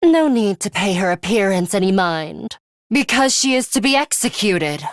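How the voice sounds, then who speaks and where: A woman's voice: medium pitch, animated, normal speed, dry recording, studio quality, close to an everyday voice. A woman voicing a cold, bloodthirsty young female fighter in an English video game, formal and menacing with theatrical flourishes. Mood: commanding.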